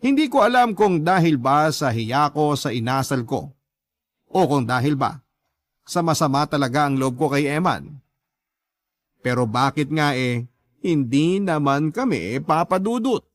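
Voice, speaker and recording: An actor playing a confiding letter-writer who narrates a love story in first person for a radio drama, emotive and confessional. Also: male voice, a little high, animated, measured pace, no echo, clean studio sound. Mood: regretful, confessional.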